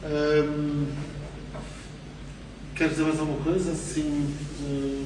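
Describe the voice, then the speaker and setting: Male moderator, relaxed and joking; distant mic, clear background noise. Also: fairly high pitch, takes it slowly. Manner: casual, playful, joking with the guests. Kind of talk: public panel conversation. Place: theatre stage. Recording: reverberant theatre hall, distant mic.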